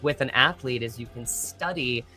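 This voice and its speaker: A man gives casual, thoughtful interview answers as an actor guest. His mid-pitched voice is bright and forceful. He speaks earnestly and often halts to find his words, with music in the background. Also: husky. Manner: earnest, halting and reflective, searching for words.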